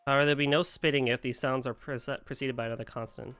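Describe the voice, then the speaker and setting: Bright-voiced American streamer laughing along. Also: male voice, medium pitch, fairly even intonation, racing, clear, resonant tone, no echo, faint music behind. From United States. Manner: rambling, laughs easily, swears. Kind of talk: casual live-stream reaction.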